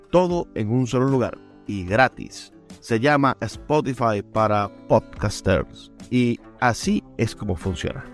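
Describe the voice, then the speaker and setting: Man reading promos over music. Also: mid-range voice. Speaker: radio host. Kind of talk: scripted promotional narration.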